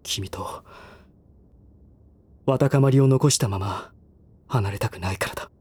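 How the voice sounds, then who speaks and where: A man playing a reserved, earnest, refined young man in a Japanese voice drama, with measured, formal phrasing. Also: on the low side, darkish tone, slight background noise, lightly acted. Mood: insistent, hurt.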